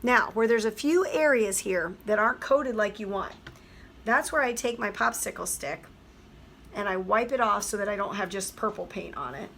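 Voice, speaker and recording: Hoarse woman teacher, slightly gravelly, chatty step-by-step craft demo. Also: medium pitch, normal speed, a bit bright, a little hiss or hum. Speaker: craft tutorial host. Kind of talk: craft tutorial voice-over.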